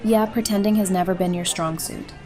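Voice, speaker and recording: A mid-pitched actress playing a young mother, warm and blunt with her friends. She talks in a teasing, conversational audio drama style, with music clearly underneath. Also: fairly even intonation, a little fast, a crowd in the background, lightly acted. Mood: friendly banter.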